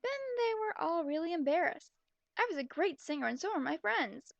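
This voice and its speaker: A young woman with a high-pitched voice and plenty of fry reads a story aloud and picks it apart as she goes. Her manner is sarcastic, blunt and exasperated. The sound is close and dry. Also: lively intonation, normal speed, no room sound, dull audio. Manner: exasperated, mocking, blunt asides. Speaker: commentator reading fan fiction aloud. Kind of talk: sarcastic reading with running commentary.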